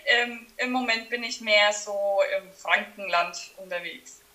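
A Franconian woman in her thirties chats as a podcast guest. Her voice is bright and clear, and she talks in a friendly way, often pausing on filler sounds. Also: mid-range voice, rough recording. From Franconia, Germany. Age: 30s. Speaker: wedding photographer guest. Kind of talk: casual podcast interview.

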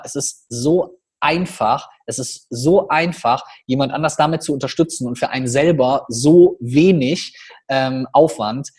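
Husky man with a Cologne accent. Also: mid-range voice. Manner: animated storytelling with quick asides. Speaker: podcast guest, public speaker. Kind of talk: casual podcast conversation.

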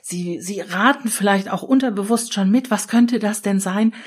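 A middle-aged German woman hosting a solo podcast, explaining ideas in a lively coaching manner and speaking straight to the listener. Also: mid-range voice, moderate pace, slightly rough. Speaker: podcast host and change consultant.

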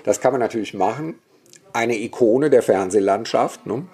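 A man's voice: mid-range voice, normal speed, darkish tone, husky. A man, a historian and guest, telling stories in a vivid, opinionated way with many asides. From Germany, Bergisch Gladbach area. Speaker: guest, historian and cemetery expert. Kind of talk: relaxed storytelling in conversation.

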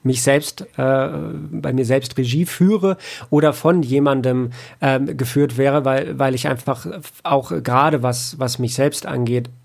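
Male interview guest, mid-pitched, fry in the voice, halting delivery, casual answers. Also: fairly even intonation. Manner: hesitant, searching for words. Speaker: interview guest, audio drama producer and author.

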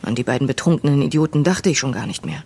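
A woman playing a weary waitress recounting her past in measured, bitter tones in a German audio drama. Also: a bass voice, a little mellow, breathy edge, full character voice. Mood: disgusted, weary. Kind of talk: audio drama narration and dialogue.

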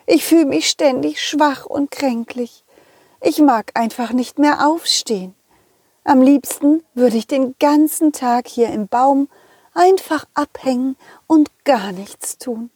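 A woman's voice: high voice, darkish tone, breathy edge, no room sound, heavily acted. A woman voicing a sleepy, listless grown-up lemon schoolteacher, sighing and complaining wearily in a children's audio story. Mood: weary, dejected. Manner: weary, sighing, drawn-out complaining.

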